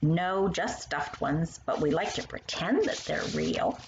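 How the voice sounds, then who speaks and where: A woman's voice: low-pitched, lively intonation, a little slow, gravelly, lo-fi sound, background noise, heavily acted. A woman voicing a kindly, playful older woman who patiently explains things to a young child in a storybook. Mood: patient storytelling.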